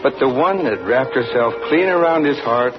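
An actor playing a young farm son who narrates in a homespun, folksy way in a 1940s radio play. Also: male voice, mid-range voice, normal speed, over music, close to an everyday voice. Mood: wistful. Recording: dull old radio broadcast.

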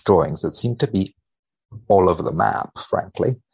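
A man speaking as a researcher guest in conversation, wry and measured, giving tongue-in-cheek commentary with mock seriousness. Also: low-pitched, vocal fry, husky. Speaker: podcast guest, biomedical researcher.

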